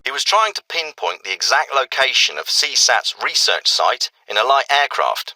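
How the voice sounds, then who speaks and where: An actor playing a businesslike special forces commander in a military video game, speaking in a clipped, authoritative, terse way. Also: male voice, medium pitch, bright, forceful tone, no room sound, studio quality. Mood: serious, briefing. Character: gruff, businesslike male special forces commander briefing an operative.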